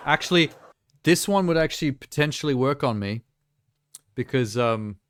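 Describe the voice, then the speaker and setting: A man with a smooth, clear voice talks casually on a live stream, recorded close and dry. He is from South Africa. He reacts off the cuff with wry, mocking asides at an ordinary middle pitch. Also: animated, normal speed, a bit bright, no echo.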